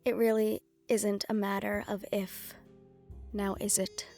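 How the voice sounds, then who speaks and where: A woman's voice: medium pitch, little pitch movement, a little slow, warm tone, faint music behind. A woman voicing a composed, condescending female mafia underboss in an audio roleplay, mock-polite and coolly threatening. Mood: veiled menace.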